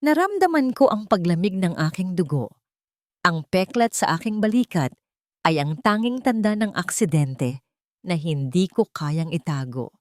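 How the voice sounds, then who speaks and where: Female audiobook narrator, lowish voice, dry close sound, steady first-person drama reading. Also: moderate pace, no echo.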